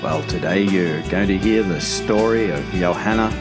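Deep-voiced podcast host over guitar. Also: male voice, normal speed. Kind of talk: scripted intro. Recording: over loud guitar music.

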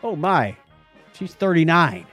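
A man's voice: a little high, big pitch swings, slow, a bit bright, soft background music. A man co-hosting a podcast, bantering casually and reading out items with wry, joking asides. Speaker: podcast co-host.

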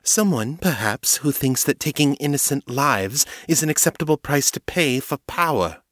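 A man's voice: medium pitch, animated, moderate pace, fairly bright tone, no echo, clean studio sound, pitched above the performer's own voice. A man voicing a blunt, principled female vampire who presses her points directly. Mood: pressing.

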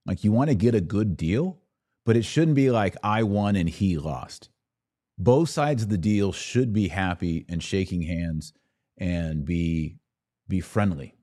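A man's voice: low-pitched, mellow. A man hosting a podcast, explaining and reacting to political clips in an informal, emphatic way. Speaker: podcast host.

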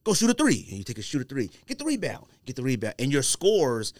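Low-pitched American man, slangy podcast storyteller. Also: huge pitch swings, quick pace, dry recording. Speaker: podcast host. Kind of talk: casual chat about sneakers. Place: close-miked podcast studio.

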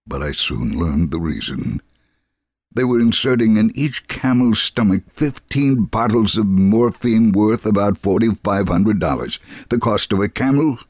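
A man's voice: on the low side, a little mellow, no echo, clean studio sound. A man reading a scripted first-person testimony as a story narrator.

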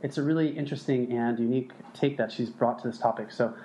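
A man's voice: medium pitch, steady tone, fast, warm tone, slight background noise, poor audio. A man hosting a podcast interview, asking friendly questions over a call. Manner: friendly, asks questions, laughs easily. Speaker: podcast host.

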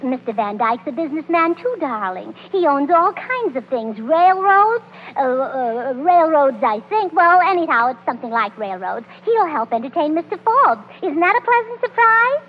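Hoog kwetterend, warhoofdig jong vrouwtje. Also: female voice, high voice, very animated, moderate pace, few pauses. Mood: excited chatter. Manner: scatterbrained, hesitant, earnest chatter. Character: scatterbrained, chattering, meddling young wife, sweet and eager. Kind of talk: 1930s radio comedy acting. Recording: muffled, hissy 1930s radio recording.

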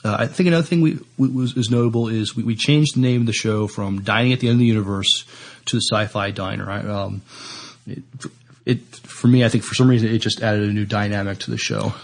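A man co-hosting a casual podcast, talking in halting, hesitant phrases. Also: on the low side, fairly even intonation. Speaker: podcast co-host. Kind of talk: casual chat.

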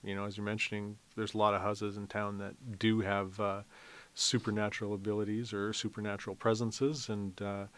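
A man's voice: lowish voice, level tone, a little fast, fairly bright tone. A man chatting casually as an interview guest, rambling a little as he explains. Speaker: festival organizer, interview guest.

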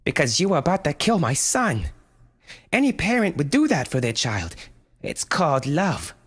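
A man voicing a frantic young mother who stammers and pleads in a high, strained voice. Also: wildly swooping pitch, normal speed, fairly bright tone, slightly rough, full character voice. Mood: defiant.

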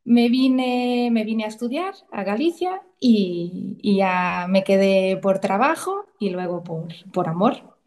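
A woman's voice: medium pitch, expressive, takes it slowly, a little mellow. Chatty woman in her thirties. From Portugal. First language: Portuguese. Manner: chatty, emotional storytelling with many restarts. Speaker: guest. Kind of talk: casual conversational interview.

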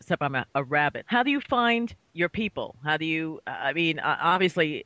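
A woman's voice: sits low, fairly bright tone, dry recording, lo-fi sound. A woman chatting casually on a panel as a voice actor, in a rambling, talkative way.

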